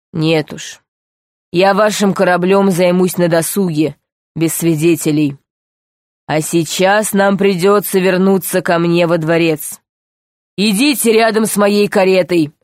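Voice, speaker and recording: A woman voicing a calm, mockingly sweet male underground tyrant in a storybook, speaking in a slow, deliberate, commanding way. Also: fairly low pitch, no room sound, clean studio sound, close to an everyday voice. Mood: wary, threatening. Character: calm, menacing male underground ruler, mockingly sweet, power-hungry villain.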